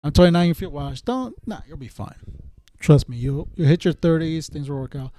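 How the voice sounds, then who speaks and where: A man's voice: fairly high pitch, a little fast, warm tone. A man around thirty talking casually to viewers as a streamer, in a rambling, slangy way that often circles back and repeats. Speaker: live streamer chatting with viewers. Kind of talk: casual chat.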